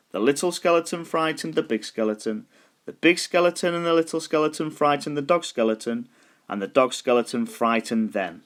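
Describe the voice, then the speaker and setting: A man reading a children's story aloud as scripted narration, deliberate and expressive, stretching words for emphasis. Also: on the high side. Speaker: storybook reader.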